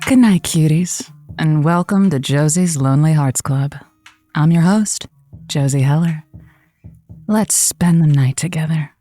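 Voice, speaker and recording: A woman playing a flirty, sharp-tongued late-night radio host in a comedy audio drama, with a suggestive, wry, playful manner. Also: low voice, measured pace, faint music behind. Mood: playful, sultry.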